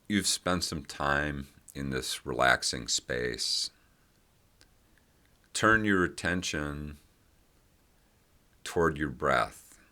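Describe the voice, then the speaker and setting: A man leading a guided meditation with gentle, unhurried instructions and long pauses between phrases. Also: rumbling low.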